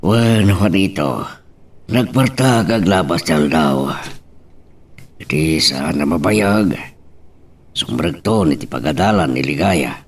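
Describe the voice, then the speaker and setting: Man acting slow, deep old Ilocano father. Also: animated, recorded from a distance, exaggerated voice. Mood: consoling. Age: older. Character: old rural father, practical and pressing, fond of his daughter. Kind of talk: Ilocano audio drama acting.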